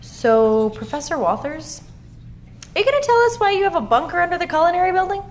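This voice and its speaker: A pointed, inquisitive survivor in an audio drama. Her voice is high and very lively, over faint background noise. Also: brisk. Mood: demanding, curious.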